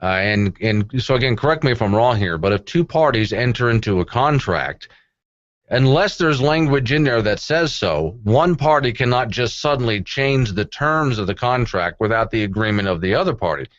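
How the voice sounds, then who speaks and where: A man hosts talk radio with a very animated, varied pitch. He chats conversationally and drops wry, joking asides. The sound is dry and slightly dull. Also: moderate pace, no echo. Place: radio studio.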